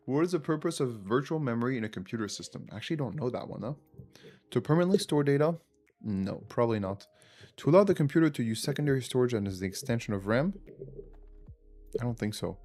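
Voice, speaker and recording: A man with a French accent chats through a quiz on a livestream, thinking aloud and answering viewers. His voice sits at a middling pitch, and quiet music plays underneath. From France. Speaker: livestream host. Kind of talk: casual live quiz chat.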